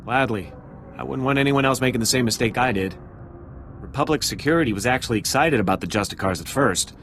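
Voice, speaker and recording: A man's voice: medium pitch, fast, slight background noise, lightly acted. Man acting a jumpy, nervous security officer. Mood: earnest, uneasy. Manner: hesitant, anxious, hushed urgency. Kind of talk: video game character acting.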